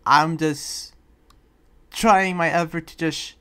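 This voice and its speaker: A person improvising a relaxed demon slayer in a game roleplay, with a hesitant, halting delivery. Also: mid-range voice, clean tone. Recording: music behind the voice.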